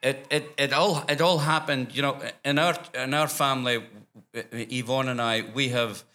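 Older man, Scottish accent, bright tone, church interview guest, rambling testimony. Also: medium pitch, steady tone, normal speed. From Scotland. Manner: rambling storyteller with frequent restarts and laughter.

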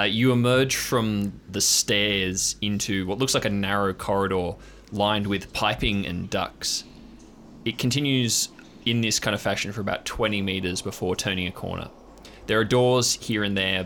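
Bright-voiced man running an improvised game. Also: lowish voice, fairly even intonation, normal speed. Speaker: game master. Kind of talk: improvised tabletop game narration. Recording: clear background noise and ambience.

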